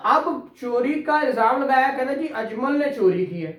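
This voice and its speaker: A man's voice: very high-pitched, animated, moderate pace, a bit bright. A man with a Pakistani Punjabi accent lecturing on law to a class, repeating key phrases and prompting students with questions. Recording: echoey, reverberant room.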